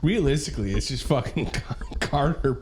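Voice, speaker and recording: A man's voice: medium pitch, lively intonation, moderate pace, background noise. A man in his thirties chatting casually on a podcast, joking and laughing as he tells stories. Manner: jokey storyteller, laughs often.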